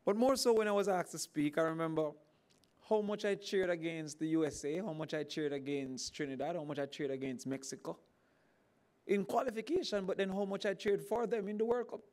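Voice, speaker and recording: A man with a Jamaican accent, a government minister, giving a formal speech at a podium with emphatic, rising emphasis. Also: brisk. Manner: animated, emphatic, climbing pitch on key points.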